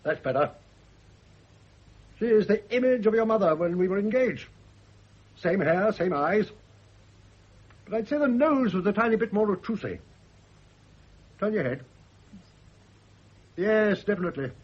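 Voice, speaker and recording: Crotchety old british general, blunt and bossy, raspy, dull old radio sound. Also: male voice, high voice, animated, a little slow, long pauses, full character voice. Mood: imperious. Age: older. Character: crotchety, domineering old retired general and father. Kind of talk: 1970s radio drama acting.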